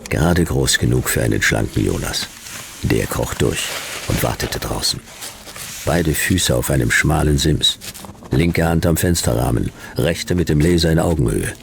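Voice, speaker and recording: Very deep, gravelly hard-boiled detective. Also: male voice, moderate pace, slight background noise, exaggerated voice. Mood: deadpan, tense. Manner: terse, clipped, deadpan, hard-boiled. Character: world-weary, sardonic male private detective narrating his case. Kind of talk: hard-boiled radio play narration and dialogue.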